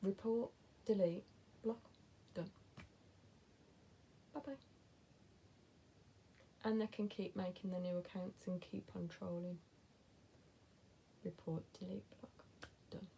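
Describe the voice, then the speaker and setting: A woman's voice: lowish voice, little pitch movement, slow pace, pauses often, mellow, a little hiss or hum, low-quality recording. A woman in her thirties with a West Midlands accent, chatting casually to camera as she answers viewer questions, blunt and with whispered asides. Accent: West Midlands English. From England.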